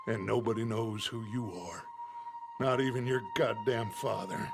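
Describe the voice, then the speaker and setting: An actor playing a middle-aged American outlaw gang leader in a video game, grandiloquent and authoritative. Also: male voice, fairly low pitch, unhurried, gravelly, music in the background. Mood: contemptuous. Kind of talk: video game drama acting. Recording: game audio with loud ambient noise.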